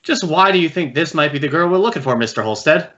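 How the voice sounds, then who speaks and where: An actor playing a polite, businesslike police detective asking questions in an old radio drama. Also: male voice, a little high, animated, fast, fairly bright tone. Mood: polite, probing. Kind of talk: 1950s radio acting. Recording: dull old radio recording.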